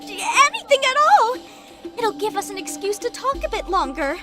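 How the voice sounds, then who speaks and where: A very high, girlish voice as a devoted sheep-girl advisor in a mythological audio drama. She is bubbly, obedient and eager to please, with music clearly playing behind her. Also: sing-song, normal speed. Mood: gushing gratitude.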